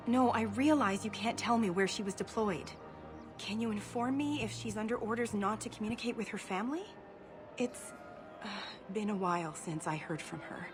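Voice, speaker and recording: A woman's voice: medium pitch, moderate pace, close to an everyday voice. A woman playing a worried alien spouse in a video game, speaking politely with restrained, hesitant anxiety. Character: anxious, polite female alien worried for partner. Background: music.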